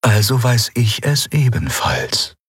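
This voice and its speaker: A man plays a mysterious spirit mentor in an audiobook. He speaks slowly and calmly at a medium pitch, measured and a little husky. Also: no echo, studio quality, exaggerated voice. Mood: calm, knowing. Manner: slow, measured. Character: calm, mysterious male spirit mentor with glowing hair. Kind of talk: audiobook character voice.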